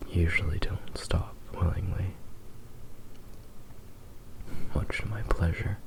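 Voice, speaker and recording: A man voicing a devoted, possessive fox spirit husband in an ASMR roleplay, speaking in a soft, breathy half-whisper with intimate, lingering pauses. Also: sits low, poor audio. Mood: adoring.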